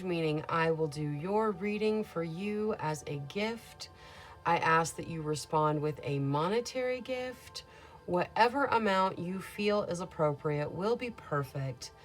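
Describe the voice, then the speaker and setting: Calm woman with a scratchy voice. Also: fairly low pitch. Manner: calm, soothing, measured delivery. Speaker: tarot reader. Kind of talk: gentle tarot reading monologue.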